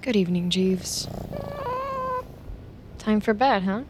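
A woman's voice: medium pitch, slow pace, background noise, lightly acted. An actress playing an anxious, twitchy young office worker in an audio drama, muttering and rambling nervously. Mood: uneasy muttering. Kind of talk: naturalistic audio drama acting. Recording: music underneath.

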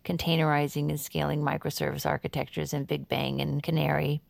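Low creaky woman podcast co-host. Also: level tone, fairly quick, no room sound. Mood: casual, modest. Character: chatty deadpan woman co-hosting a true crime podcast. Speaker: true crime podcast co-host. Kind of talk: scripted audio fiction as casual podcast chat.

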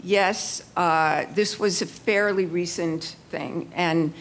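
A woman in the audience of a live event, making a casual comment and asking questions. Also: takes it slowly. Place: live book fair event. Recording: low rumble, noisy live event.

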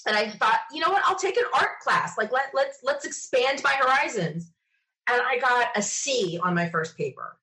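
A woman's voice: fairly high pitch, normal speed, poor audio. A woman being interviewed, telling casual personal stories in a chatty way and acting out bits of remembered conversation. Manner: chatty, animated quoting of self and others. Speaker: interview guest.

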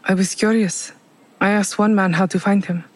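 A woman's voice: medium pitch, fairly even intonation, moderate pace, close to an everyday voice. An actress playing a guilt-ridden, vengeful woman who speaks tersely and without repentance in an audio drama. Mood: grim, resigned.

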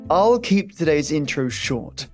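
A man's voice: a little high, sing-song, a little slow. A man hosting a podcast, giving a chatty scripted introduction in a wry, friendly manner. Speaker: podcast host. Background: plucked guitar music.

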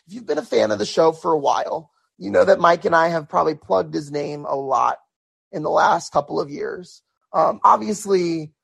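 Podcast host, male, hoarse; raspy, heavy fry, easygoing solo commentary. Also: on the high side. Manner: conversational, repeats words and restarts often.

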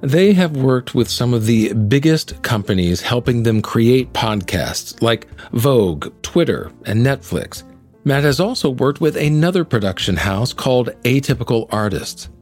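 A man's voice: mid-range voice, expressive, a little slow, a little mellow, faint music behind. A middle-aged man hosting a podcast in a polished, articulate presenting style, moving between scripted narration and interview. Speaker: podcast host, actor and singer.

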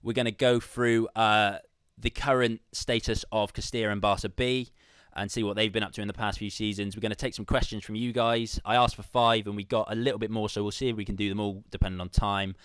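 Low, clear-voiced man hosting a podcast. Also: flat delivery, fairly quick, few pauses, fairly bright tone, no room sound. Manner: chummy, informal. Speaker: podcast host. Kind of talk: casual sports podcast chat.

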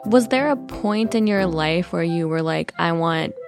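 A woman's voice: fairly low pitch, lively intonation, unhurried, over music. A woman hosting a podcast, asking questions and narrating between interview segments. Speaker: podcast host.

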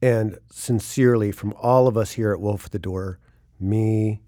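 A man's voice: fairly low pitch, fairly even intonation, a little slow, mellow, no echo. A man giving a casual, friendly, informal podcast announcement as one of the studio team.

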